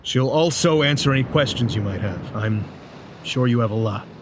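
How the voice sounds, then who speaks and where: A man acting as a vampire rebel leader in his thirties for an audio drama. He is calm and protective, with a matter-of-fact delivery and a medium-pitched voice over clear background noise. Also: moderate pace, natural voice. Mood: calm, helpful. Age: 30s.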